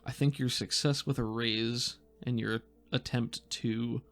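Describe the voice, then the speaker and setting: A man narrating a tabletop roleplaying game as game master, setting scenes and prompting the player in a measured way. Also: mid-range voice, fairly even intonation, faint music behind.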